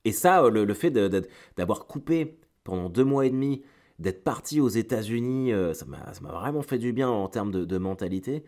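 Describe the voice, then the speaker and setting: A middle-aged man speaks in a fairly low voice, a little stuffed up a cold. He chats informally like a solo podcaster, wandering into asides, repeating words and searching for phrasing. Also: lively intonation, normal speed, a little mellow. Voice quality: slightly congested, stuffy nose from a cold. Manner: informal, digressive, hesitant with repeated words, slangy. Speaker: stand-up comedian hosting a solo weekly show. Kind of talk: casual solo podcast chat to listeners.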